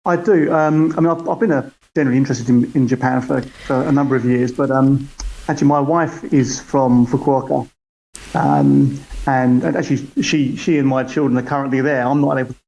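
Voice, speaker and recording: A man's voice: medium pitch, fairly even intonation, fairly quick, creaky, mellow, dull audio. Hesitant British man chatting on a call. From United Kingdom. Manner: hesitant, many fillers and restarts. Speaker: podcast guest, football fan. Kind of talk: casual chat over a call. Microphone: call audio.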